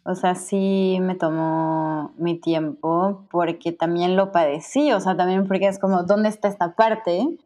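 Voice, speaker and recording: Mexican woman with a low, mellow voice. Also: fairly even intonation, unhurried. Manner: rambling, many fillers and restarts, laughs easily. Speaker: guest. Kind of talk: casual conversation.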